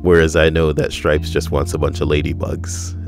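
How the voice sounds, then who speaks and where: A man co-hosting a casual podcast, reading out strangers' stories with wry, deadpan, rambling asides. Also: very deep voice, normal speed, over music. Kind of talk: casual joking commentary.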